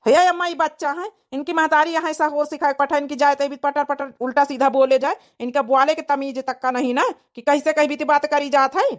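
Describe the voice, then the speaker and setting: Woman voicing a high-voiced, grumbling Awadhi young husband. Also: fairly even intonation, a little fast, few pauses, close to an everyday voice. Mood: indignant, angry. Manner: indignant, complaining rural speech. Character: grumbling, hot-headed young village husband and son. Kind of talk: Awadhi comedy cartoon voice acting.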